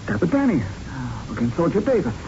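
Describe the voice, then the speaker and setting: High-voiced, weary old gym keeper. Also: male voice, huge pitch swings, a little fast, heavily acted. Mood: confused. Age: older. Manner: dour, muddled, ungrammatical streetwise talk. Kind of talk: 1970s radio drama acting. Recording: muffled, noisy old radio broadcast recording.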